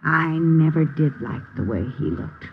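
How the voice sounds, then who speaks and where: A woman's voice: low-pitched, lively intonation, a little slow. A woman playing a bossy, penny-pinching working-class wife with folksy, complaining American speech in a 1950s radio drama. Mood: anxious, urging. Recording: muffled old radio recording with hiss and clicks.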